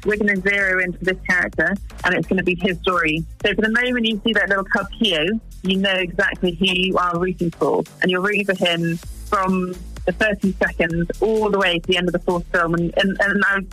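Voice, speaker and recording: A woman in her forties who grew up in East Africa, speaking as a wildlife filmmaker in an interview, earnest and pausing to find her words. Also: on the low side, fairly even intonation, bright, forceful tone, soft background music, poor audio. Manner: earnest, searching for words with restarts. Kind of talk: interview guest.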